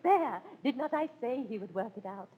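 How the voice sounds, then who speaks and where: An actress playing a mischievous, sly waiting-maid in 1930s radio Shakespeare, speaking in a theatrical, brisk and deferential way. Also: female voice, on the high side, expressive, phone-quality sound, natural voice. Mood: gleeful.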